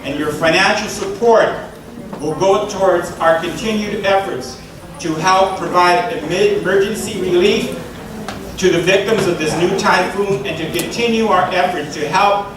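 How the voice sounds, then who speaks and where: A man speaking for a relief charity to an audience, measured and emphatic, building his points through repeated phrases. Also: high voice. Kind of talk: speech to a concert audience. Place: large echoey hall. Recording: echoey hall, distant mic, noisy.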